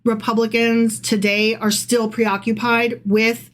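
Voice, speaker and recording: A woman's voice: a little high, level tone, unhurried, slight background noise. Irreverent woman podcaster, casual and blunt. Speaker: podcast co-host. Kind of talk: casual Bible discussion with some reading aloud.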